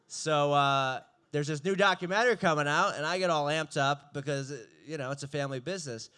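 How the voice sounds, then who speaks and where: A young man doing a stand-up comedy set, with a dry, deadpan delivery that breaks into laughs. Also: fairly high pitch, a little fast, clear, resonant tone.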